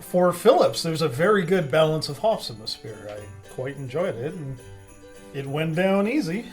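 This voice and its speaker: A man's voice: on the high side, sing-song, normal speed, soft background music. A man co-hosting a review show in casual comic banter, giving wry, brief replies. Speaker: beer review show co-host.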